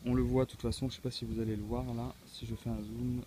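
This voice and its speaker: A man's voice: medium pitch, flat delivery, fast, dark tone, a little hiss or hum. A man with a cold giving a casual, hesitant unboxing walkthrough as a tech reviewer, with many filler sounds. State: has a cold.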